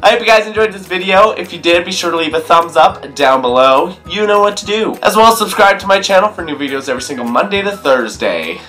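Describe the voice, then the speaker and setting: A young man presenting a comic tutorial to camera in a small bedroom, with an animated, jokey manner. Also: sits high, fast talker, hardly any pauses, faint music behind. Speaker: video blogger.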